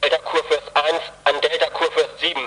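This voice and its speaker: A man's voice: a little high, little pitch movement, a little fast, very noisy. A man playing a stern, curt dispatcher speaking over CB radio in a radio play. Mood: stern, urgent. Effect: CB radio transmission.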